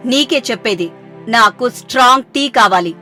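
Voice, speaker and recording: A woman voicing an angry, commanding middle-aged mother-in-law in an animated family story. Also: on the high side, normal speed, faint music behind. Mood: irritated, commanding. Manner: commanding, irritable.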